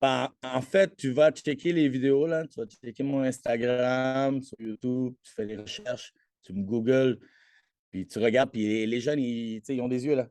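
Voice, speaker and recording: A self-assured trainer in his forties talks with a Québécois French accent, Spanish being his first language. His voice is mellow and warm, caught dry and close. He boasts freely and trips over his own restarts. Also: medium pitch, steady tone, moderate pace, no room sound. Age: 40s. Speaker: guest, athletic trainer. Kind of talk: casual interview chat.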